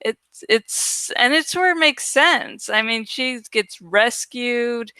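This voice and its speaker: A woman's voice: fairly high pitch, expressive, takes it slowly, bright, forceful tone, smooth, no echo. A woman hosting a book read-aloud show, giving informal fan commentary that rambles and digresses. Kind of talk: casual, rambling fan commentary between dramatic readings.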